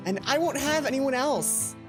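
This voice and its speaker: A woman's voice: high-pitched, expressive, moderate pace, music in the background, pitched above the performer's own voice. A woman voicing a lonely, earnest academy schoolgirl in love, pleading and hesitant, in a visual novel. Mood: stubborn, wistful.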